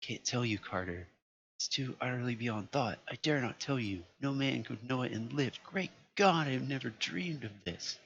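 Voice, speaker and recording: A man voicing a terrified man trapped in a vault, pleading and shouting in panic. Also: mid-range voice, moderate pace, voice pushed up, natural voice. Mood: horrified. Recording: lo-fi with faint noise.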